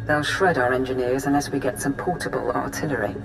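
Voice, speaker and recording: A woman's voice: extremely low pitch, fairly even intonation, creaky, low-quality recording. An actress playing a dry, pragmatic female military intelligence adviser, giving businesslike briefings in a video game. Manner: briskly factual, dry-witted, businesslike. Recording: game audio under loud music. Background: orchestral game music.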